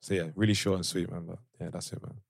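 A man's voice: low-pitched, level tone, fast, gravelly, no echo, clean studio sound. A young man co-hosting a podcast, talking in a laid-back, teasing way. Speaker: podcast co-host. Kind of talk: casual chat.

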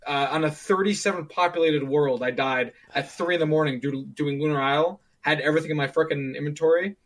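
A man's voice: on the high side, a little fast. A man in his twenties chatting casually about gaming, rambling with lots of fillers and restarts. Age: 20s. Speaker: streamer.

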